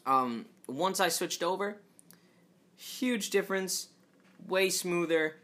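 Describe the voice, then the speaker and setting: A man talking alone into a podcast mic, his tone bright and forward despite a low, fairly monotone delivery. He rambles through restarts and filler words, dropping joking asides to the listeners. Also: slow pace. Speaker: podcast host. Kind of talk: casual solo podcast monologue.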